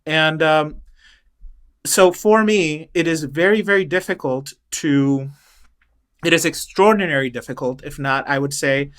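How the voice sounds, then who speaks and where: Man, interview guest, bright tone, clear voice, halting, lots of restarts. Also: on the high side. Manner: thoughtful, halting, many restarts and fillers. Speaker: interview guest, choral director. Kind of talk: reflective interview talk.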